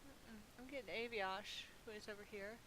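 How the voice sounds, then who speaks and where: A woman's voice: medium pitch, normal speed, noisy background. A woman giving casual, playful commentary as she plays a video game, chatty and muttering asides to herself. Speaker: gaming video host, player.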